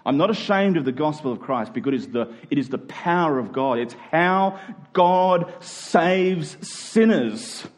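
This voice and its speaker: A male preacher with a somewhat high voice delivers a sermon. He speaks conversationally and informally, then leans on key words, drawing them out slowly for emphasis. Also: animated. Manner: conversational, informal asides to listeners. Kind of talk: sermon to a congregation.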